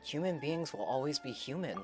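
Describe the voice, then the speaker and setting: A man's voice: on the high side, animated, fairly quick, darkish tone, soft background music. A man streaming a game, chatting informally and slangily to viewers as he reacts live. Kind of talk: casual live reaction chat. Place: streaming over game audio with faint music.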